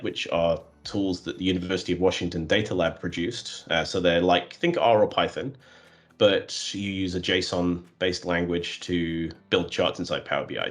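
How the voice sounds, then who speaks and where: A man with a very deep voice who talks in an even, flat delivery, circling back through fillers and self-corrections. He sounds like a guest in a relaxed interview. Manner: self-described flat, monotonous voice; many fillers and restarts. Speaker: guest, custom visual developer. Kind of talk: conversational interview.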